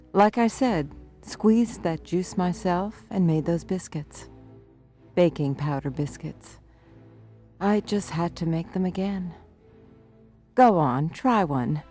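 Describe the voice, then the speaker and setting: An actress playing a mysterious, homely middle-aged cook in an audio drama, dreamily insistent and otherworldly. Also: female voice, on the low side, a little mellow.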